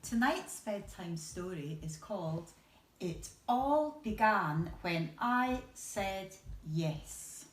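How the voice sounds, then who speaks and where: A woman's voice: fairly low pitch, a bit bright. A woman reading a storybook aloud to young children, expressively, with questions and asides to her listeners. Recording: roomy echo, distant from the mic.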